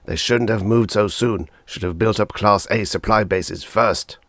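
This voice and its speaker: A male audiobook reader voicing an angry, bitter, hawkish father with savage, forceful outbursts. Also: fairly low pitch, unhurried, no echo. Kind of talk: audiobook character voice.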